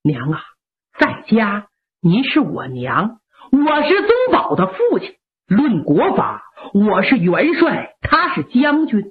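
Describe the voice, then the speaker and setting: A woman's voice: mid-range voice, huge pitch swings, slow pace, voice pushed down. A woman storyteller voicing a stern, unbending male army marshal who declaims firmly, sometimes tearfully. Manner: firm, declaiming, at times tearful. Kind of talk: traditional storytelling performance. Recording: lo-fi narrow-band recording.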